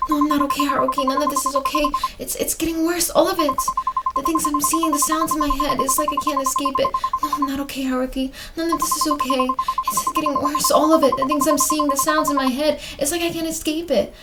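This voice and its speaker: A woman's voice: very high-pitched, level tone, drawn-out words, pauses often, heavy background noise, pitched above the performer's own voice, heavily acted. Woman acting out a panicky, pleading horror protagonist. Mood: frightened, distraught. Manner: panicky, repetitive, gasping. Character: terrified, sickened protagonist pleading and sobbing in horror.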